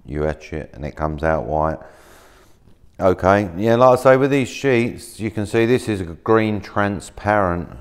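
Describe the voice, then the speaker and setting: A deep, smooth-voiced man in his thirties talks through a workshop demonstration in an easygoing, rambling way, full of fillers and restarts. He sounds relaxed and unscripted as he handles materials at a work table. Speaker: maker demonstrating laser-cut materials.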